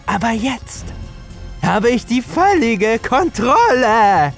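A man voicing a gloating, manipulative older villain in a horror story, with a taunting, drawn-out delivery. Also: very high voice, very animated, faint music behind, pitched above the performer's own voice, heavily acted. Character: sinister mocking older man, gloating manipulative dealmaker with supernatural power.

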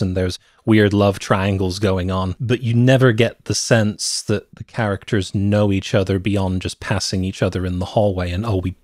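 A middle-aged man co-hosting a movie podcast, explaining his opinions in a measured, conversational way into a close microphone. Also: low voice, no echo. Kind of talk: conversational film review. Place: small cluttered home room. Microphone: close podcast microphone, headset.

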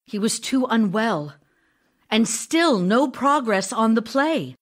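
An actress playing a devoted, anxious wife in an audio drama, voicing letters to her absent husband in an intimate, earnest, expressive way. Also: on the high side, takes it slowly. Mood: narrating, reflective. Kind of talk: audio drama acting, reading love letters aloud.

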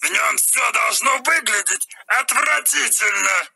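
An actor voicing a gleeful evil male troll who shouts boastfully about his mirror in a children's audio fairy tale. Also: medium pitch, a little slow, exaggerated voice. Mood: gloating. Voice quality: gravelly, shouting. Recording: with music behind.

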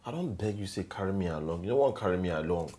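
A man's voice: sits low, animated, fast, warm tone. A man with a Nigerian Pidgin accent playing a broke young man who pleads and grieves over being let down. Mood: complaining. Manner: pleading, emotional.

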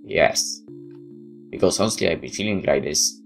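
A man's voice: deep voice, lively intonation, normal speed, creaky. A man hosting a gaming video, reading game text aloud and adding casual comments. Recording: faint game music behind the voice.

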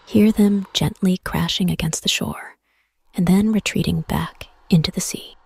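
A woman softly guiding a meditation in a whispery, husky voice, soothing and measured, with pauses between phrases. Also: fairly low pitch, dry recording. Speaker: meditation guide. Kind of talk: guided meditation, soft scripted narration.

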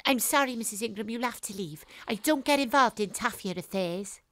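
A woman's voice: on the high side, lively intonation, a little fast, fairly bright tone. A woman playing a wry, wary hard-boiled private detective in an audio drama, clipped and suspicious. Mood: suspicious, firm. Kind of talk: audio drama acting, noir narration.

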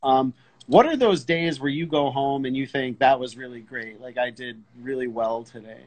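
A man's voice: mid-range voice, moderate pace, darkish tone, low-quality recording. Informal middle-aged man hosting an interview. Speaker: host, pilot interviewer. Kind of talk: casual conversational interview. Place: indoors in an armchair, video call.

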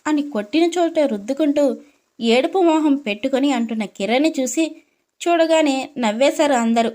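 A woman's voice: high voice, normal speed, a little mellow. A woman storyteller reading a scripted story narration.

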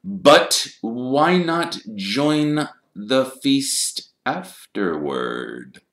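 A man's voice: mid-range voice, very animated, fairly bright tone, echoey room, voice pushed up, full character voice. A man voicing a cold, sneering schoolteacher from a storybook, drawing out his words with sly suspicion. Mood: sneering, triumphant. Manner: slow, drawn-out, insinuating.

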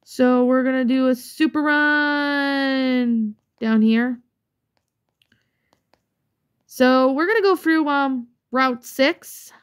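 A woman's voice: high-pitched, drawn-out words, long pauses, clear, resonant tone. A woman casually commenting on her own gameplay, chatty and rambling with many fillers, slightly congested from a cold. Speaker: let's play gamer.

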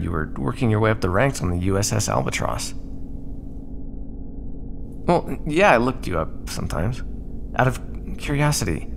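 A man's voice: medium pitch, gravelly, a bit bright, a little hiss or hum. A young man acting out an ASMR roleplay in a teasing, bantering way.

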